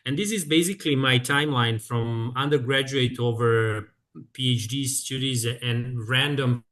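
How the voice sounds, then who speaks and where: Halting man in his thirties. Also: medium pitch, a bit bright. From Serbia. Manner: halting, with frequent filler sounds. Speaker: guest speaker, researcher. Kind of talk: presentation in an online seminar. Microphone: video call.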